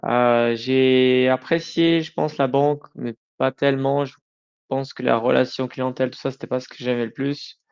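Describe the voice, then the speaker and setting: Middle aged guy as podcast guest, gravelly fry, casual stop-start talk, bit muffled lo-fi audio. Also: mid-range voice, fairly even intonation, darkish tone. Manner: informal, halting, self-correcting. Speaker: podcast guest, company founder. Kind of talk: conversational interview answers.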